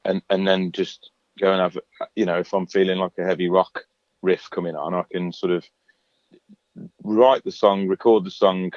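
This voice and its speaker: Low-voiced singer, chatty and relaxed. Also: male voice, moderate pace, no room sound, muffled recording. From England. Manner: relaxed, chatty, many fillers and restarts. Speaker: singer-songwriter guest. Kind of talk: radio interview.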